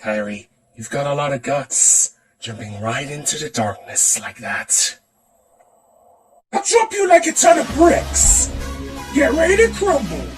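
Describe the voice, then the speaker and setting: Theatrical man with a wildly swinging pitch. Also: wildly swooping pitch, unhurried, recorded from a distance, soft background music. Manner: theatrical, switching between many character voices. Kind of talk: voice acting demo reel of game and cartoon character impressions.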